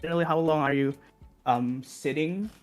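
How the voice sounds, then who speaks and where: A man's voice: on the high side, normal speed. A young man from Indonesia speaking English with an Indonesian accent, a music composer answering interview questions casually and hesitantly, with many ums and self-corrections.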